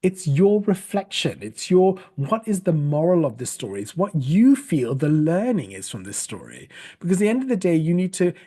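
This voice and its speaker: Man from sri lanka teaching how to tell a story, kinda breathy voice, goes up and down a lot. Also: fairly high pitch, big pitch swings, moderate pace, dark tone. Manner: patient and explanatory, with frequent restarts. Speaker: storytelling coach. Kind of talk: online teaching talk.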